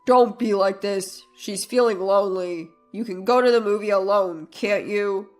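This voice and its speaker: A woman's voice: medium pitch, moderate pace, darkish tone, soft background music, natural voice. A woman voicing a selfish, insistent husband in his thirties, curt and dismissive, in a narrated story. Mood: dismissive, pleading. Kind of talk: acted dialogue in a narrated story.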